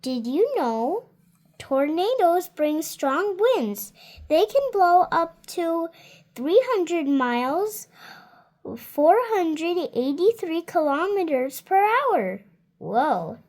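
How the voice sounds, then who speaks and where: High-voiced seven-year-old girl reading aloud. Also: sing-song, slow, darkish tone. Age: child, 7 years old. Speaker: child reader. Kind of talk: read-aloud of a children's nonfiction book with spontaneous comments.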